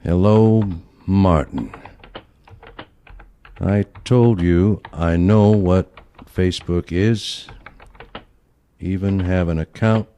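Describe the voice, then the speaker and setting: A man acting as a sly, crusty elderly grandfather in an audio play, speaking in a deliberate, halting way. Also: deep voice, warm tone, a little hiss or hum. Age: older.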